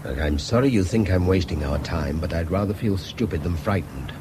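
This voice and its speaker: A man's voice: low voice, animated, a little fast. An actor playing an educated middle-class Englishman, wry and harried, articulate, in a radio drama. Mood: tense, weary. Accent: British English. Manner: articulate, theatrical turns of phrase. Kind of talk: naturalistic radio drama acting. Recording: noisy radio drama with background ambience.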